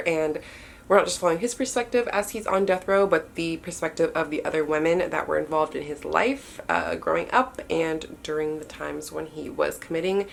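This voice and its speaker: A young woman with a stuffy nose, chatting in a relaxed, often unsure way as she walks through the books on her shelves. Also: low-pitched, vocal fry, slight background noise. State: stuffy-nosed. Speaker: book vlogger. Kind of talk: casual bookshelf tour talk.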